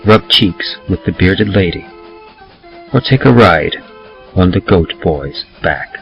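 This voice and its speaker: A man giving a measured, theatrical reading of horror poetry, like a carnival barker. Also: deep voice, animated, vocal fry. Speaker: podcast host and reader. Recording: slightly muffled lo-fi recording. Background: music.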